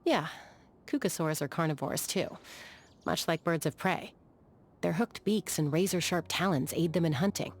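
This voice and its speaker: A woman playing a calm, battle-hardened young sky gunslinger in a video game, measured, thoughtful and matter-of-fact, with a dry edge. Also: sits low, husky. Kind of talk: video game character voice lines.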